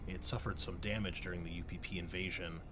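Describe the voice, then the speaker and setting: A cool, measured female android from a tabletop sci-fi game, acted out by a man. The voice sits on the low side and speaks formally and deliberately. There is clear noise behind it. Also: steady tone, natural voice. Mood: measured, informative.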